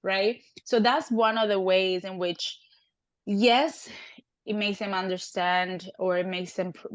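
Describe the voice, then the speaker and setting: A woman's voice: lowish voice, dry recording, lo-fi sound. A woman from Cuba, an academic guest, explaining at length in a conversational interview and often checking in with "right?". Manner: animated, frequent "right?" tag questions.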